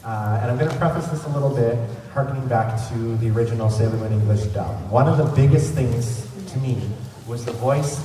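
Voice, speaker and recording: A man's voice: medium pitch, fairly quick, few pauses, warm tone. Echoey, distant man hosting. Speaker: panel host. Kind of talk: informal fan convention presentation. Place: large convention centre room. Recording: echoey noisy hall, distant microphone.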